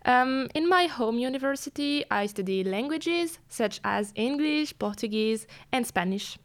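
A woman's voice: fairly high pitch, animated, unhurried. A nineteen-year-old woman from France, a student who speaks carefully and a little formally. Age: teens. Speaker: student guest.

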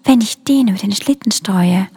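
A child acting a gentle, worried Christmas spirit with a breathy, half-whispered voice in a school radio play. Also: mid-range voice, moderate pace. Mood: explaining.

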